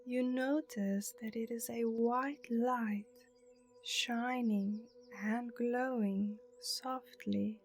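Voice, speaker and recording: A woman narrating a guided meditation in a soothing, hushed manner with breathy phrase endings. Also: a little high, fairly even intonation, extremely slow. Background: meditation music.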